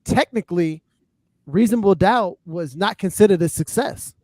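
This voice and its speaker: A man's voice: sits high, normal speed, darkish tone, no echo. A man co-hosting a podcast, casually debating hip-hop in an emphatic, explanatory way and checking in with short tag questions. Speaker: podcast co-host.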